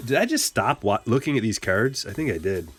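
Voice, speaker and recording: A man's voice: lowish voice, animated, brisk, fairly bright tone, soft background music. A man from Michigan hosting a casual card-break livestream, joking and rambling as he chats with viewers. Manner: playful, joking, rambling banter with chat. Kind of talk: casual livestream chat while opening cards.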